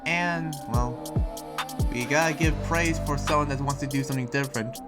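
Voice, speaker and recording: A man's voice: mid-range voice, fairly even intonation, moderate pace, lightly acted. A man voicing an earnest young town preacher in an improvised anime game roleplay, explaining things in a rambling, hesitant way, with music behind him. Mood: reassuring.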